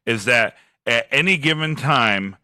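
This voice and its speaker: A man with a deep voice and a slight lisp, co-hosting a casual podcast, sarcastic and self-mocking, laughing often. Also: expressive, measured pace, bright, forceful tone, no room sound, clean studio sound. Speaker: podcast co-host. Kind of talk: casual chat.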